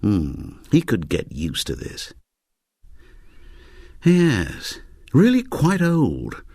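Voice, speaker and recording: A man reading fiction aloud as a narrator, with wry, expressive delivery. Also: mid-range voice, drawn-out words, dry recording, studio quality. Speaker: audiobook narrator.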